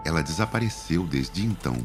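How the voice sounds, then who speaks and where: A man with a Brazilian Portuguese accent narrates an audio description in a very deep voice. His pitch moves a lot as he reads in a plain, descriptive way. Music plays clearly underneath. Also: lively intonation, brisk. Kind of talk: scripted narration.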